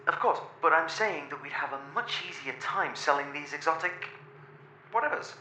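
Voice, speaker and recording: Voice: mid-range voice, lively intonation, moderate pace, pushed and bright. Someone acting a snarky fussy robot, swoopy dramatic delivery, whiny complaints, echoey, sci-fi radio play. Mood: sarcastic ranting. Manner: theatrical, sarcastic, complaining. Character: sardonic, ranting, greedy, fussy robot crew member. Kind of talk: science fiction audio drama acting. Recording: roomy echo, faint noise.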